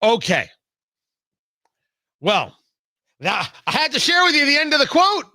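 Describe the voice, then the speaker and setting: A man hosting a talk radio show, delivering an opinionated political monologue theatrically, with emphatic repeats and dramatic pauses on a close studio mic. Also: very high-pitched, wildly swooping pitch, bright, forceful tone, no room sound. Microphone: close studio microphone.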